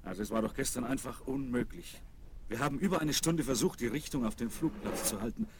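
An actor playing an important, commanding American boss in a German children's audio drama, speaking with brisk authority. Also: male voice, medium pitch, close to an everyday voice. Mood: impressed. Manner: authoritative, dictating orders. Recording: vinyl rip with faint noise.

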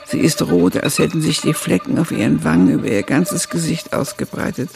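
An actress playing an elderly woman who recalls her youth, narrating a radio drama in a measured, reflective way with a gravelly, husky voice. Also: low voice, lively intonation, over music, heavily acted. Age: older.

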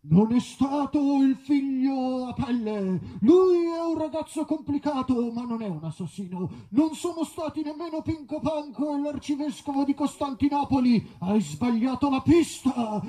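A man voicing Apollo, a solemn god giving advice in a drawn-out, declamatory manner during live comic storytelling. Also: extremely high pitch, dark tone, pitched above the performer's own voice. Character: solemn god Apollo advising the detective, high-voiced.

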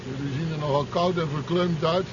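An actor playing a gruff, nosy, sceptical truck driver in a 1970s Dutch radio play, speaking in a wry, casual, probing way. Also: male voice, fairly high pitch, steady tone, fairly quick, lightly acted. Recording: dull, very noisy 1970s radio drama recording.

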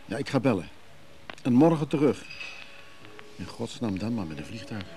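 A man playing a brisk schemer giving urgent, secretive orders on the phone in a radio play, halting and commanding. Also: mid-range voice, big pitch swings, warm tone, faint music behind. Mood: shaken, resigned.

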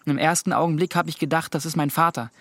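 A man's voice: on the high side, steady tone, quick pace, fairly bright tone, no room sound. A young male actor playing a teasing, evasive teenage boy in a radio drama, casual and youthful in his talk. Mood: evasive, uneasy.

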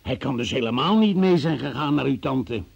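An actor playing a stern, cold examining magistrate in a radio play, questioning formally and relentlessly with a gravelly, husky voice. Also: male voice, medium pitch, expressive, muffled recording, heavily acted. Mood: accusing, stern. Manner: formal, accusing, deliberate. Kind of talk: Dutch radio play interrogation acting.

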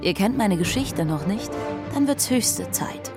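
A woman's voice: medium pitch, animated, a little slow. An actress playing a proud, defiant Caribbean pirate woman, bold and provocative, in a dramatised podcast trailer. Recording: music behind the voice.

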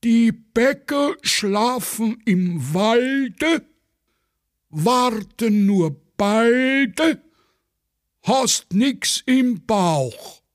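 Man putting on a high, plaintive Viennese poet. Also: expressive, dry recording, clean studio sound, heavily acted. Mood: plaintive, self-important. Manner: slow, drawn-out verse reciting. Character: plaintive, vain Viennese amateur poet, male.

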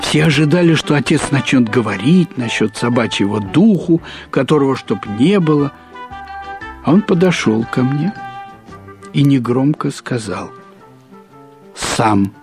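A man reads literary prose as a storyteller, in a measured, deliberate way with pauses and emphatic repetition. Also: medium pitch, animated, a little mellow, soft background music. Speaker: narrator. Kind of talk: expressive literary narration of a short story.

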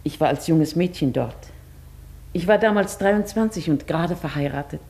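A woman's voice: deep voice, moderate pace, warm tone. An actress in a German radio play playing an ailing, wistful older woman of modest means, proudly reserved and hesitant. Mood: wistful, moved.

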